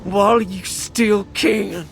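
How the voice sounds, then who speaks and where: Ominous, very slow menacing stranger. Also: female voice, medium pitch, steady tone, gravelly. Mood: cold, threatening. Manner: menacing, deliberate. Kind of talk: video game cutscene acting. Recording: loud music over the voice.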